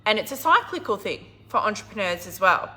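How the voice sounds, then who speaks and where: A middle-aged woman business coach with a hoarse, husky, croaky voice, talking casually and emphatically to a live audience. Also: medium pitch, big pitch swings, fairly quick. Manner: enthusiastic, emphatic, swings from loud to quiet. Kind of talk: casual livestream talk.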